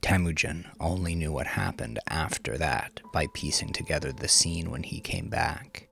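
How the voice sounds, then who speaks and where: A man with a very low voice narrates a dark crime story over music. His phrasing is measured and deliberate, with dramatic, drawn-out line endings. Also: gravelly.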